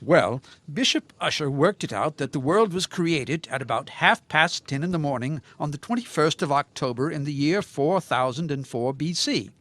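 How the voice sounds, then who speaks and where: Guy doing pompous creationist crackpot on a radio comedy, highish voice, really animated, dry close sound, talks like a pedantic prophet. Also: moderate pace, hardly any pauses, no room sound. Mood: earnest lecturing. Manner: pedantic, formal, grandiose. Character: pompous male crackpot creationist with messianic self-belief. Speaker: interview guest. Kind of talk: audio comedy drama, mock radio interview.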